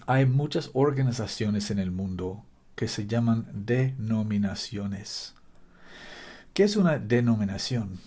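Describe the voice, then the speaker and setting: A man preaching a Bible-teaching sermon in Spanish, which he says is not his native language, speaking deliberately and stopping often to quote scripture. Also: fairly low pitch, expressive, a little mellow. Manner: deliberate, stops to quote scripture, some restarts in careful non-native Spanish.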